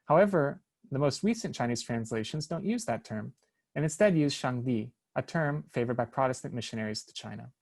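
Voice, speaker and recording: A man's voice: medium pitch, lively intonation, brisk, gravelly, darkish tone. A man presenting a prepared research paper at an online seminar, reading carefully with small hesitations over foreign names. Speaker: academic lecturer. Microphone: online seminar, computer mic.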